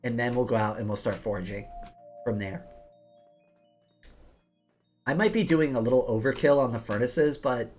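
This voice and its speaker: Woman streamer, kinda hoarse rattly voice, chatting thru her game plans, music in the background. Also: very deep voice, moderate pace, long pauses, a little mellow. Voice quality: rough, slightly hoarse and rattly. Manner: chatty, explains plans aloud while playing. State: hoarse from days of coughing. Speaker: livestream host. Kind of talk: casual game livestream chat. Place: home office.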